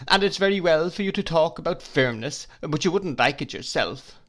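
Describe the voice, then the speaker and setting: Tearful, pleading young wife with a high, fluttering, lively voice, as a male reader puts her on. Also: normal speed.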